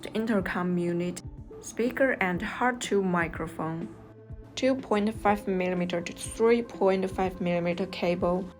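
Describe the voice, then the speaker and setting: A woman narrating a scripted product review step by step as the reviewer. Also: medium pitch. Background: music.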